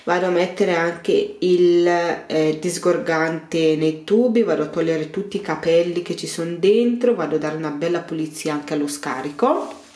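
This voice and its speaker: Woman, 30s, lowish voice, chatty home-cleaning vlogger, tiled bathroom echo. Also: normal speed, darkish tone. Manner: chatty, rambling, many fillers and restarts. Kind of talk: casual clean-with-me narration.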